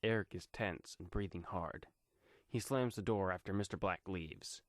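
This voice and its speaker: Male narrator, scripted first-person fiction, lower pitch, dry recording. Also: normal speed, no room sound.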